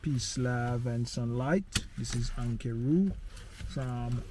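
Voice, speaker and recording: एक जमैकन लहजे वाला आदमी, गाड़ी में बैठकर व्लॉग के लिए आराम से बातें करता है और बीच-बीच में पटवा बोलने लगता है।. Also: male voice, mid-range voice, slow, noisy background. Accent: Jamaican. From Jamaica. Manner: easygoing, slips into patois. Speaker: vlogger host. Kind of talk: casual chat in a car. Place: inside a car on a city road.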